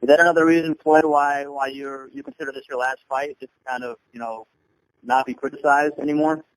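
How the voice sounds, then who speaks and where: Hesitant man, mid-pitched reporter. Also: fairly even intonation, a little fast, poor audio. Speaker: newspaper reporter. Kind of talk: asking questions on a press call. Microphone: conference call line.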